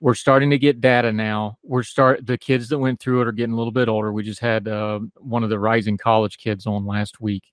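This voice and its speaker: A man's voice: fairly low pitch, little pitch movement, fairly quick, few pauses, no echo. A man with an Appalachian accent hosting a talk radio show, folksy and chatty, interviewing a guest. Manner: folksy, talkative, self-deprecating.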